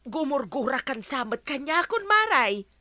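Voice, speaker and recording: A woman's voice: high-pitched, big pitch swings, measured pace, bright, forceful tone, dry recording, clean studio sound, full character voice. A woman playing an aggrieved village neighbor in an Ilocano comedy radio drama, with an Ilocano accent and emphatic exclamations. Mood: pleading.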